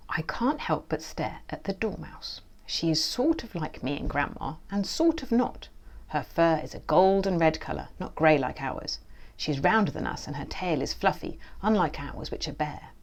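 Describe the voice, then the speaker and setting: Low, creaky-voiced woman reading a storybook. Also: lively intonation, normal speed. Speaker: children's author reading own book. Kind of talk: expressive storybook reading and presenting.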